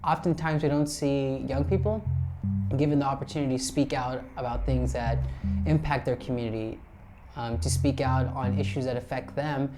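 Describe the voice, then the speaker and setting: A twenty-year-old man who volunteers and writes, speaking thoughtfully with pauses in an interview. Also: mid-range voice, steady tone. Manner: thoughtful, pausing on fillers. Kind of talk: interview answers. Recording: guitar music clearly behind.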